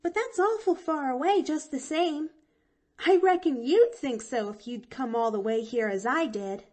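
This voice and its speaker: A woman's voice: high-pitched, lively intonation, normal speed, a little mellow, pitched above the performer's own voice. A woman reading an audiobook, voicing a cheerful, talkative little girl with an eager, folksy way of speaking. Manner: eager, chattering, folksy drawl.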